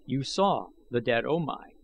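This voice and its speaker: Man acting gravelly, wary alien warrior. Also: mid-range voice, expressive, a little slow, dull audio. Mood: awed. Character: wary, awed male warriors and chieftains of an alien city.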